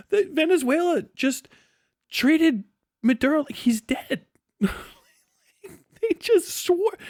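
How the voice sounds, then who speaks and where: A man giving casual, rambling live commentary as a stream host, slangy and animated, acting out imagined exchanges. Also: very high-pitched, no room sound, studio quality. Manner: often chuckles mid-sentence. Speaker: livestream host and political commentator.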